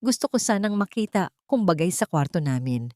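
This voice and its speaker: Woman narrator playing scheming mistress for audio drama: condescending, bossy, syrupy over venom, lowish female voice. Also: big pitch swings, fairly quick, darkish tone, dry recording, studio quality. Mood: smug, taunting. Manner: bossy, condescending, feigned sweetness. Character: smug, venomously sweet mistress, a woman expecting a baby.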